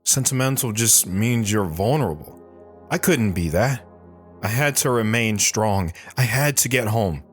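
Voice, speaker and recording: Man acting a low-voiced, haunted war veteran. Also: a bit bright. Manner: somber, measured, short plain sentences. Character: lone wandering war veteran, guarded, haunted, stoic man. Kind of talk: dramatic first-person audio drama narration. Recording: music behind the voice.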